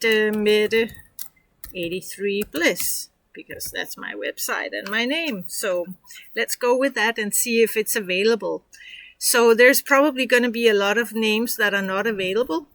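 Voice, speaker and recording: A woman's voice: mid-range voice, fairly bright tone. A woman from Denmark with a Danish accent, walking viewers through a tutorial in a chatty, encouraging way with lots of fillers. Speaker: online course instructor. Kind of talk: casual screen-share tutorial.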